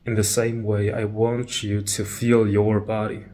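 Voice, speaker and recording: A man leading a guided meditation talk, calm and measured, pausing between short phrases. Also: fairly low pitch, slight background noise.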